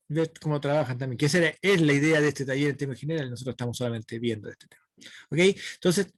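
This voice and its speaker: A man lectures a woodworking class. His voice sits a little high and sounds dry and close to the mic. He explains each step patiently and keeps checking that students follow. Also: no echo. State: dry throat. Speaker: woodworking instructor. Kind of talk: online lecture.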